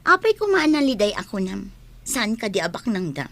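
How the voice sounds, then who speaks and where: A woman's voice: medium pitch, sing-song, moderate pace, fairly bright tone. A woman playing a forward, teasing young student in a radio drama, with an Ilocano accent. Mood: frank. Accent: Ilocano Filipino.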